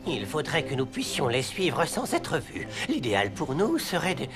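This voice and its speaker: Cartoon dub: fussy know-it-all creature, excitable, hugely animated delivery, musical score underneath. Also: mid-range voice, normal speed. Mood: inventive. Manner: pompous, exclamatory. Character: fussy, self-important scholar creature, excitable and curious. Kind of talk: animated children's cartoon dubbing. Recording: music playing behind the voice.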